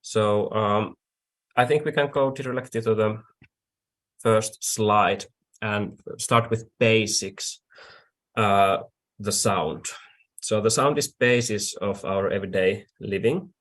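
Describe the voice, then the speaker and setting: A man with a Finnish accent explaining things deliberately and haltingly, like an online lecturer. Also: lowish voice, a bit bright. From Finland. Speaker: accordion artisan, presenter.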